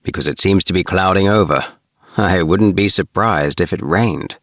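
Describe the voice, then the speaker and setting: A man voicing an easygoing, chatty young alien spaceman in a storybook, with relaxed, hesitant phrasing. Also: low voice, normal speed, no room sound, studio quality. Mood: lazy, contented. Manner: relaxed, with sighs and hesitant uhs.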